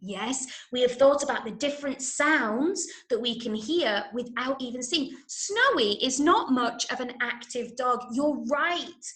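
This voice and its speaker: An English woman teaches children online in a fairly high voice. She speaks very slowly, with encouraging emphasis and careful explanation. The room around her is echoey. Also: expressive, fairly bright tone. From Manchester, England. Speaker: drama workshop teacher. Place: indoor room, online call.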